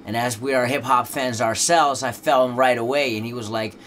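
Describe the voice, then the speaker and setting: A Dutch rapper speaking English as a second language, chatting casually in an interview with a laid-back, loose delivery full of fillers. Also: male voice, mid-range voice, steady tone, brisk, fairly bright tone, slight background noise.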